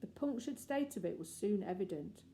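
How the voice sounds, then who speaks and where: Mellow-voiced woman reading a children's story. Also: medium pitch, lively intonation, moderate pace. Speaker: audiobook reader. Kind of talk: scripted storybook narration.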